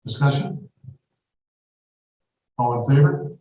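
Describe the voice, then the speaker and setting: A man chairing a city council meeting, calling votes and reading agenda items in a brisk, procedural way. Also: medium pitch, warm tone. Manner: brisk procedural, quickens when explaining. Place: council auditorium. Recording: echoey hall from far off, faint noise.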